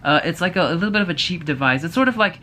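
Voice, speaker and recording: Bright-voiced man giving a casual review. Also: a little high, animated, racing, a little hiss or hum. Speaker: movie reviewer host. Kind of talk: casual opinionated review to camera.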